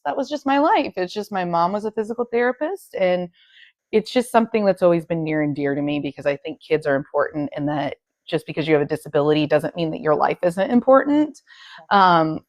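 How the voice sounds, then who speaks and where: A woman's voice: lowish voice, a little mellow, no room sound, clean studio sound. A woman interviewing a guest in a casual, supportive way, often saying "you know".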